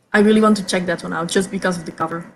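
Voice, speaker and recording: A young woman from Belgium whose first language is Dutch, hosting a casual reading chat over a video call, chatty and quick to stumble over her first words. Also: fairly low pitch, a little hiss or hum. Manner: chatty, repeats words when starting sentences, laughs often. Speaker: host of reading sprints. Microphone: video call mic.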